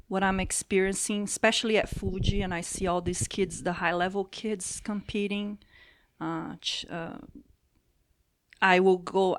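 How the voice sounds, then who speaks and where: A woman in her forties from Brazil with a Brazilian Portuguese accent, a podcast guest telling stories in relaxed casual chat. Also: mid-range voice, no echo. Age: 40s. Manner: relaxed storytelling, laughing easily.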